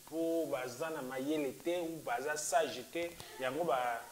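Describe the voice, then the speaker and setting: A man's voice: a little high, unhurried. A man hosting a discussion, jumping in with questions and stumbling over his first words. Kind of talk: moderating a discussion.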